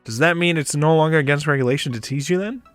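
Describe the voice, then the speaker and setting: A man voicing a teasing, warm-hearted male space traveler in a visual novel read-aloud. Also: fairly high pitch, quick pace, no echo, soft background music, close to an everyday voice.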